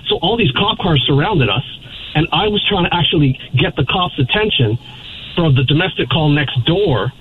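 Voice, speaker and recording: A man calling in to a radio show and rapidly telling a rambling story. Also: fairly high pitch, a little hiss or hum. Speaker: caller. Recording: tinny phone line.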